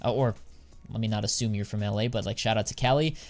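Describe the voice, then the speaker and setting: A young American man chatting casually on a live stream, answering viewers in a relaxed, slangy way. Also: on the low side, fast talker, soft background music. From United States. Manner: slangy and laid-back, drawls filler words.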